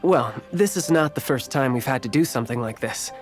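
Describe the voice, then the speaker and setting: A man's voice: mid-range voice, expressive, fairly quick, lightly acted. A man playing a polite, composed merchant guild attendant in a video game, formal and orderly. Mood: composed, helpful. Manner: formal, orderly, matter-of-fact. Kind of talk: video game character acting. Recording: game music behind the voice.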